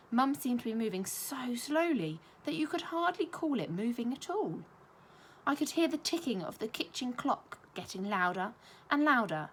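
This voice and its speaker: Expressive teacher reading aloud. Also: female voice, mid-range voice, slight background noise. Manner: expressive storytelling with lively intonation. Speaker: primary school teacher. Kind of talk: reading a children's novel aloud.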